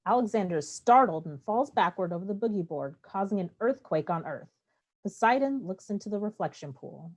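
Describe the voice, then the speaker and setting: A woman's voice: mid-range voice, lively intonation, brisk, a little mellow. A woman narrating a play, reading scripted stage directions.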